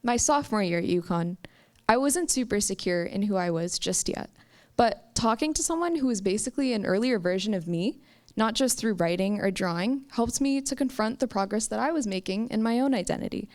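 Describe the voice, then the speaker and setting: A young woman from the United States telling a personal story at a live campus story slam, with slow, emphatic phrasing. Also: mid-range voice, lively intonation, darkish tone. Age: young adult. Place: stage at a campus story event.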